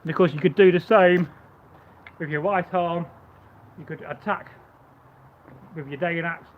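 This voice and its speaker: A man live-streaming as a Viking reenactor, chatting loosely and stammering through restarts with a loud voice, outdoors at home. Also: fairly high pitch, steady tone, a little slow, dull audio. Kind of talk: casual live stream chat and demonstration.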